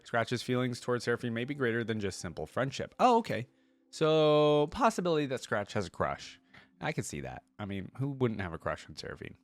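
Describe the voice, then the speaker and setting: Male reaction host, lowish pitch, dry sound; reads aloud with loose, conversational asides. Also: no room sound. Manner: conversational, thinks aloud with check-in questions. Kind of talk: casual reading aloud with off-the-cuff commentary.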